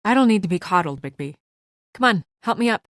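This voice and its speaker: An actress as a serious young woman investigator in a noir fantasy game. She is restrained and businesslike, with a dry edge, in a medium-pitched voice. The recording is close and free of room sound. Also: expressive, a little fast, no room sound, natural voice. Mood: urgent. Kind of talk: video game voice acting, naturalistic dramatic dialogue.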